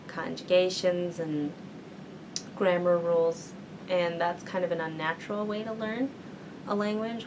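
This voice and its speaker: A woman's voice: lowish voice, vocal fry, noisy background. A young woman, a university student, answering interview questions in a thoughtful way with many fillers. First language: English. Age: young adult. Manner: thoughtful, many ums and likes.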